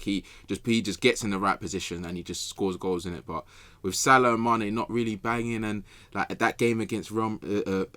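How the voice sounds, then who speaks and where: A deep male voice, recorded close and dry. He talks like a podcast pundit among friends, colloquial and slangy, doubling back on phrases to press the point. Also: a bit bright, dry recording. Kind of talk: casual sports chat.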